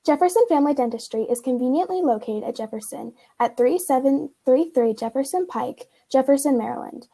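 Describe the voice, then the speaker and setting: Woman as upbeat dentist, high voice, comforting, scripted commercial read. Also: fairly quick, few pauses, warm tone. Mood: reassuring. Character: reassuring, upbeat female dentist in radio advert. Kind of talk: scripted local radio commercial.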